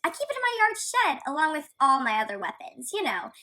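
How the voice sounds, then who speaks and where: A woman's voice: high-pitched, sing-song, fairly quick, sharp tone, heavily acted. A woman playing a sassy, defiant young kitten heroine in a radio play, with a sarcastic, irreverent manner. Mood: breezy sarcasm.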